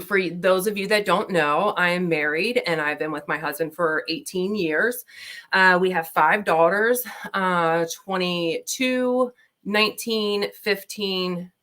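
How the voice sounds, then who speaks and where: Brief: thirties woman, Baltimore accent, lower voice, rambling livestream chat through a laptop mic. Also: fairly bright tone. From Maryland, USA. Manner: chatty, rambling with fillers. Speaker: beauty vlogger. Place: home, at her desk with laptop. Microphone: laptop built-in mic.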